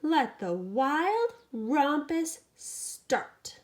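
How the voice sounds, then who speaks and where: Woman acting as a wild little storybook boy, high-pitched, bossy, exclaiming, commanding. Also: very animated, drawn-out words, heavily acted. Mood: excited command.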